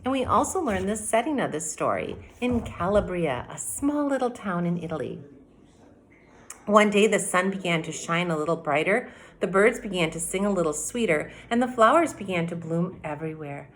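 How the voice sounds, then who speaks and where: A middle-aged woman with a slightly low voice and very lively intonation. She speaks as an elementary teacher, explaining and reading a story directly to young children. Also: moderate pace, a little hiss or hum. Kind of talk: read-aloud mini lesson for children. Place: indoor room at home by a window.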